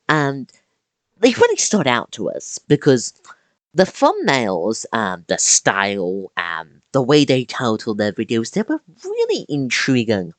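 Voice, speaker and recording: Video-essay narrator, mid-pitched, lively swings in pitch, dramatic emphasis, casual asides, close dry recording. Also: no echo.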